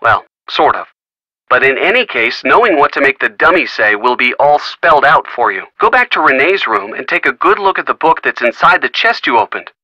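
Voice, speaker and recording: A man's voice: mid-range voice, sing-song, brisk, bright, forceful tone, no room sound, muffled recording, exaggerated voice. An actor playing a friendly man in a video game who gives folksy puzzle hints with wry little asides. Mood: helpful. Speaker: hint-giving game character. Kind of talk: recorded video game dialogue.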